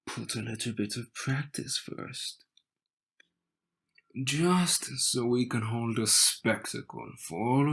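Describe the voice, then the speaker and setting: Slow-talking, teasing young groom, played by a man. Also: medium pitch, a bit bright, slightly rough, heavily acted. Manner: smug, teasing, unhurried delivery with dramatic pauses. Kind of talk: romantic audio roleplay addressed to the listener.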